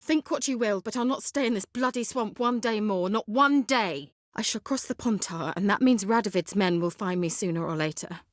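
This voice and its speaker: A woman's voice: medium pitch, normal speed, few pauses, no room sound, studio quality, lightly acted. A woman playing a haughty, sly sorceress in a fantasy video game, articulate, wry and teasing. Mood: exasperated, determined.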